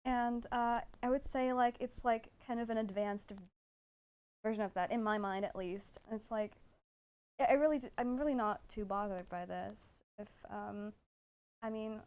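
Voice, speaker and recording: Livestream panelist: woman with a slightly high voice, giggly and halting, informal. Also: a little mellow, smooth. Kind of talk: casual livestream chat.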